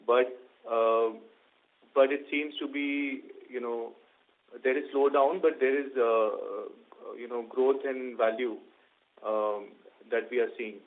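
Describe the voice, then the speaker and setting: A man with an Indian accent, a company executive answering questions on an earnings call in halting, measured corporate phrasing. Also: mid-range voice, steady tone. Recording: narrow tinny conference phone line.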